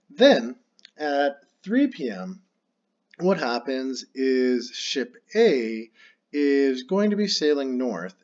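A man's voice: medium pitch, muffled recording. A man teaching a calculus problem step by step while writing it out on screen. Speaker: math teacher. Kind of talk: screen-recorded math lesson.

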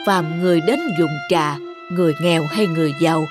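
A woman voicing a poor, humble old man who is a tea connoisseur in a cartoon folktale, speaking in a slow, courteous, old-fashioned way. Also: sits low, steady tone, music in the background, lightly acted. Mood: humble polite. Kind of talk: dubbed cartoon folktale acting.

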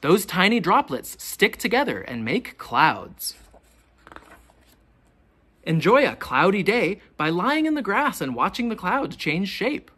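A teacher reading a children's picture book aloud, with expressive, deliberate questions pitched to young children. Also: husky. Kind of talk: children's storybook read-aloud.

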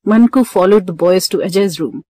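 A woman's voice: fairly low pitch, a little fast, warm tone, dry recording. A woman narrating a scripted children's story.

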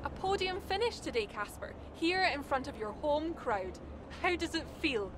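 Brisk race tv interviewer in a game, high pitched, busy paddock noise. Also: female voice, big pitch swings. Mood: brisk, upbeat. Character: brisk female trackside TV interviewer. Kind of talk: scripted broadcast interview in a game.

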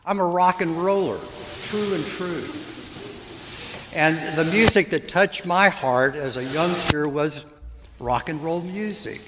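Older priest preaching in an echoey church. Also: male voice, on the high side, slow pace. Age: 70s. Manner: gentle storytelling preacher who asks the congregation questions. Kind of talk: sermon. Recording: echoey church hall with hiss.

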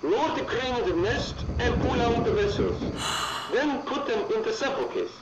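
A man's voice: extremely high pitch, lively intonation, moderate pace. A man voicing a Russian-accented male controller at a facility, giving formal instructions. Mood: instructing. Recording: echoey, dull game audio with loud noise.

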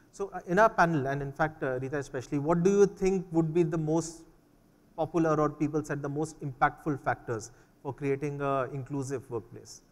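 A man with an Indian English accent moderating a panel, hesitant with many fillers, on a large conference stage. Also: on the high side. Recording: long hall reverb.

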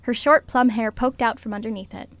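A woman's voice: a little high, fairly even intonation, fairly quick. A woman reading scripted story narration as the narrator of a radio skit.

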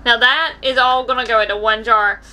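Bright, high-voiced young American woman vlogging. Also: fairly even intonation, brisk, clear, resonant tone, poor audio. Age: 20s. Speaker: vlog host. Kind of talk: casual cooking demonstration to camera. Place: small camper kitchen, indoors.

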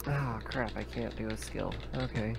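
A man's voice: on the low side, steady tone, normal speed, vocal fry, heavy background noise. A man talking casually as a player in an online tabletop roleplaying game session.

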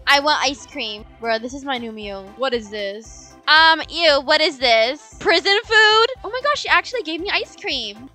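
A young woman commentates on roleplay gameplay. Her voice is very high and bright, with lively swoops in pitch. She is dramatic and sassy in how she exclaims. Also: normal speed, hardly any pauses, soft background music. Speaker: gaming video host and player. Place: small indoor gaming room. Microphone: headset.

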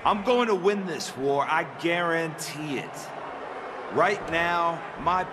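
An actor playing an arrogant, obsessive young wrestling villain in a video game, taunting and menacing. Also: male voice, a little high, slow pace, noisy background. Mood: smug, threatening. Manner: taunting, menacing promo delivery.

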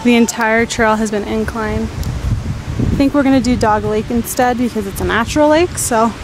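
A woman's voice: a little high, normal speed, noisy background. A woman vlogging casually as a travel host, talking in an informal, conversational way. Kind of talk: casual vlog chat. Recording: music behind the voice. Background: music.

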